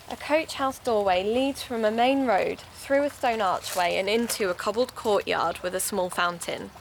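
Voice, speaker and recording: A British amateur investigator narrating her audio drama podcast. She is observant and confiding, with a conversational delivery and some vocal fry at a middle pitch. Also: fairly bright tone, slight background noise. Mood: curious. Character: Gemma, keen amateur investigator podcast host, chatty woman.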